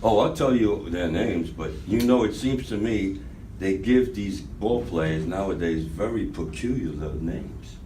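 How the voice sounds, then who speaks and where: Older man playing emphatic low-voiced comedy straight man. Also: unhurried, warm tone. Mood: matter-of-fact, insistent. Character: brisk straight man in a vintage baseball comedy routine. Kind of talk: amateur radio theatre script reading. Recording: roomy, distant mic, faint noise.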